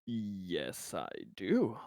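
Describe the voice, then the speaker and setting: A man chatting casually as a roleplaying player, halting and repeating words as he searches for phrasing. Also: on the low side, wildly swooping pitch, a little mellow, no echo, studio quality. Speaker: player in a tabletop roleplaying game. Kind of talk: casual game chat.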